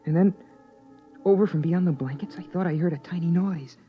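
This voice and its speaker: A man's voice: a little high, fairly quick, lightly acted. A man playing a young son who narrates his family's life, in earnest, wondering 1950s radio drama style. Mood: fearful. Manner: earnest, wide-eyed first-person narration. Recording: dull 1950s radio broadcast with music behind.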